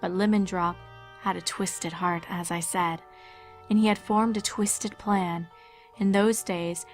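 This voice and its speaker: A woman narrating a scripted dark fairy tale in a measured, ominous storytelling manner. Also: medium pitch.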